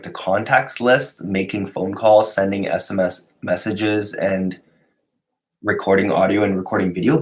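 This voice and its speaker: Sick man hosting a casual live Q&A. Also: on the low side. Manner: relaxed and rambling, many fillers and restarts. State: sick, voice lower than usual. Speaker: host answering viewer questions.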